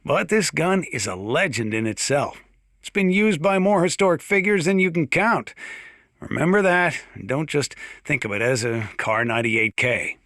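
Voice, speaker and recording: Game role: folksy sheriff, genial gun enthusiast, forceful bright delivery, very dry close recording. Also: male voice, on the high side, lively intonation, normal speed, dry recording. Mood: fond, chatty. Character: folksy gun-loving sheriff, genial and opinionated radio talker. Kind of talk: scripted radio monologue, folksy instructional commentary.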